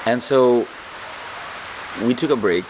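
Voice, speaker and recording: A man vlogging calmly and earnestly, his voice slightly hoarse, often repeating words as he searches for them. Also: medium pitch, slow, background noise. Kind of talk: reflective vlog monologue.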